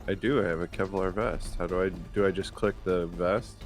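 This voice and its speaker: A man's voice: low-pitched, steady tone, a little fast. A man chatting casually as a player in a tabletop roleplaying game, giving brief, hesitant replies. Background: background music.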